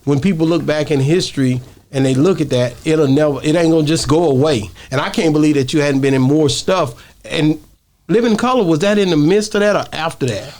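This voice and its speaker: A man co-hosting an interview, halting and emphatic, often repeating himself. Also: a little high, a little mellow. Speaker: co-host. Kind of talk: casual interview.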